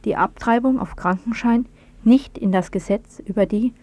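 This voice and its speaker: A woman's voice: fairly high pitch, normal speed, dark tone. A woman presenting a scripted news report on the radio.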